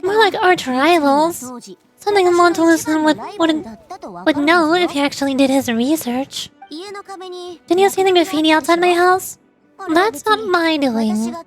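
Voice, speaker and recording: A woman's voice: extremely high pitch, measured pace, faint music behind. A woman voicing a proud, prickly and easily flustered female shaman elder from a game. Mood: proud, scornful. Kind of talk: game dialogue read aloud.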